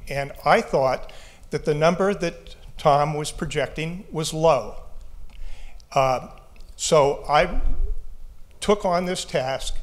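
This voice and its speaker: A man on an advisory committee giving earnest, plainspoken remarks at a public meeting. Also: fairly high pitch. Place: city meeting room.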